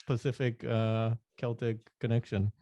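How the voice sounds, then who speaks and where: A man talking as a podcast guest in casual chat, relaxed, laughing often and restarting sentences. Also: medium pitch, unhurried, dry recording, muffled recording. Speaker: podcast guest, NFT artist.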